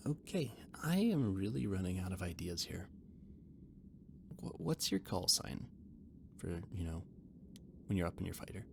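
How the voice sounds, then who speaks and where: A man playing a shy, rambling alien catboy ship mechanic in an intimate roleplay audio drama, stammering and gently defensive as he talks. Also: deep voice, gravelly, background noise, heavily acted. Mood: tentative, affectionate.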